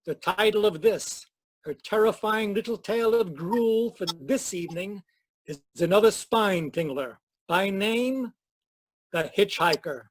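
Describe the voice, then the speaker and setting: A man's voice: high voice, a little slow, slight background noise. A man hosting an old-time radio mystery show with theatrical, formal scripted announcing. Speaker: host and announcer.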